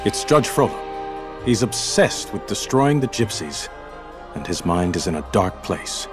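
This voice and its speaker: A man playing a confident, honourable guard captain in a video game, assured and crisp. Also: lowish voice, very animated, unhurried, over music. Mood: urgent.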